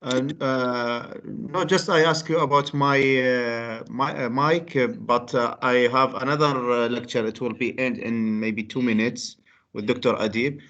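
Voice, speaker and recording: Young man, student, hesitant, mid pitch, lo-fi online call sound. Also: fairly even intonation, a little slow, a bit bright. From Iraq. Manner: hesitant, many fillers. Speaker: university student. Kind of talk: casual talk in an online class.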